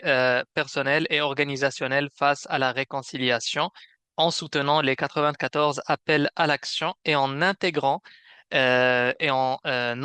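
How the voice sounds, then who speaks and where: A man presents a semi-scripted webinar as its host. His voice is bright and fairly high for a man, with very clean, clear tone. He explains things in a measured, somewhat hesitant way. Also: no echo. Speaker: webinar host and program manager.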